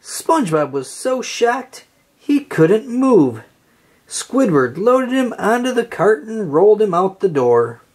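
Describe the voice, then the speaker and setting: An expressive reader narrating a children's story aloud and acting out the dialogue. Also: medium pitch, slow, husky.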